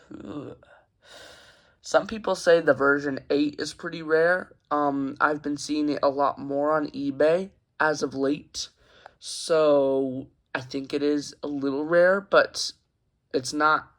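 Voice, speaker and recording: A man's voice: fairly high pitch, creaky, a bit bright. A man hosting a casual, unscripted show-and-tell review at home, talking in a hesitant, rambling way into a handheld camera. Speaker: hobbyist video host. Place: carpeted room at home.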